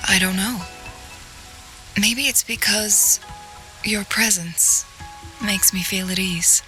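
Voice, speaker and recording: A graceful, composed young woman in a video game. She speaks softly and reflectively, with a wistful gentleness and a medium pitch. Music plays clearly behind her. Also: fairly even intonation, slow. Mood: gentle, reflective. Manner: composed, soft-spoken, wistful.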